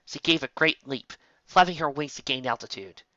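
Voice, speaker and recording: A man's voice: fairly high pitch, moderate pace, sharp tone, no echo, highs cut off. A man reading a fan fiction story as narrator, occasionally stumbling over words.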